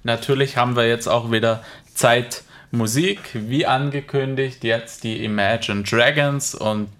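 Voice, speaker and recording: A man's voice: mid-range voice, fairly even intonation, a little slow, fairly bright tone, a little hiss or hum. A young man hosting a radio panel show, asking questions and keeping the talk on schedule, with many small fillers. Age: young adult. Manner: chatty moderator with frequent fillers.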